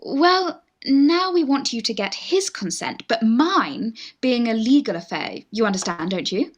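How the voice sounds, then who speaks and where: A woman's voice: fairly high pitch, lively intonation, unhurried. A woman with a British accent playing an eager young Victorian lady in love in a radio farce, genteel and pleading. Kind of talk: Victorian stage farce, radio play acting.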